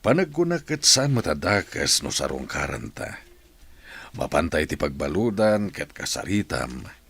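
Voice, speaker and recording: An actor playing a supportive, persuasive man in a radio drama, with a husky voice. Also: very deep voice, very animated, measured pace, fairly bright tone, heavily acted. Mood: gently persuasive. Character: supportive, persuasive man urging a woman to forgive.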